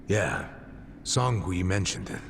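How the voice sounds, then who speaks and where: An actor playing a gruff, down-to-earth middle-aged ex-cop in an English game dub, blunt and casual. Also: male voice, sits low, expressive, slow pace, noisy background, exaggerated voice. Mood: easygoing, blunt. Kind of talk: English video game voice acting.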